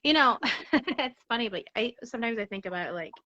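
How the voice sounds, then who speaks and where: A woman in relaxed conversation at home over a video call, chatty and laughing often. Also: a little high, a little fast, muffled recording. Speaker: guest. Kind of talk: casual chat. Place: her apartment.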